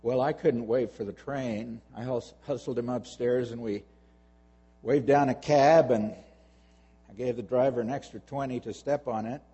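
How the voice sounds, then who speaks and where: A man tells a personal story in a halting, conversational way, often doubling back on his words. His voice is dark and husky with a gravelly creak. It carries a long reverb, as if from a hall. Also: mid-range voice, fairly even intonation, highs cut off. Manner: halting, repeats words while telling the story.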